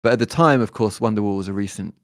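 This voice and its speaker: A man, talking as a guest musician in a relaxed interview, thoughtful and hesitant with many fillers and restarts. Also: lowish voice, fast talker, no echo, studio quality. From born in Detroit, raised in London.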